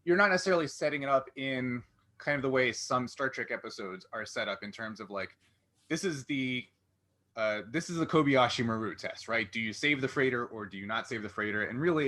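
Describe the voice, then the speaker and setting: A man hosting a casual interview, putting his questions in a halting, roundabout way. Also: on the low side, sharp tone. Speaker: interview host.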